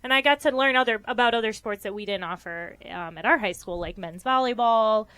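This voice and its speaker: A woman's voice: a little high, fairly quick, a bit bright, no room sound. Young woman chatting about her path. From Rochester, New York. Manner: earnest, frequent ums, light laughs. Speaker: guest physical therapist. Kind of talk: conversational interview answers.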